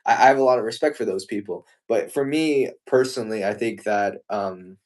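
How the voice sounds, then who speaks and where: A man's voice: fairly low pitch, fairly quick. A young man from the United States, a martial arts competitor chatting casually and earnestly, often restarting his sentences. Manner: earnest, thoughtful, with frequent restarts. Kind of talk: casual live-stream chat.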